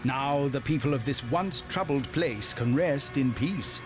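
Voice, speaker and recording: An actor voicing a pompous, excitable British adventure serial announcer, narrating theatrically in florid, old-time newsreel style. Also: male voice, medium pitch, unhurried, music in the background, close to an everyday voice. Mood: grandly dramatic.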